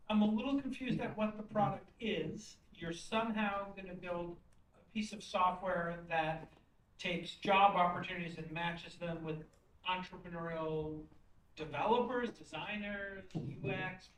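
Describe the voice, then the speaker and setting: A man giving blunt, conversational feedback as a pitch judge, rambling into hypotheticals and analogies. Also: unhurried, a bit bright. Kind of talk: conversational critique and questioning. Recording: roomy, distant mic, faint noise.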